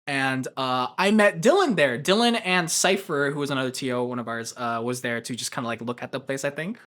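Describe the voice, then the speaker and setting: A man's voice: mid-range voice, fairly quick, fairly bright tone. A young man from Japan speaking in a documentary interview as a tournament organizer, loose, rambling and jokey.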